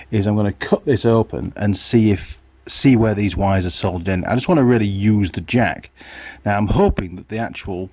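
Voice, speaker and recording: Male hobby mechanic vlogger, lowish husky voice, chatty workshop narration, half muttered to himself. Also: warm tone, dry recording. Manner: muttering to himself and viewers while working. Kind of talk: casual hands-on workshop commentary.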